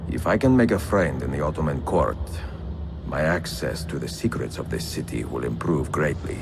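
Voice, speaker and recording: An older man with an Italian accent, playing a wise, weary and resolute aging assassin in a video game, speaking in a calm, measured way. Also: rumbling low, creaky, noisy background, full character voice. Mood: grave, reflective. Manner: measured, deliberate. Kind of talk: cinematic game acting, grave and measured. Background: music.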